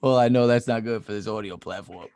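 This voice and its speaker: A man's voice: on the low side, quick pace, a little mellow, no echo. Easygoing man in dry close sound. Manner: easygoing, teasing banter. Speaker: podcast co-host. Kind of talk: casual chat.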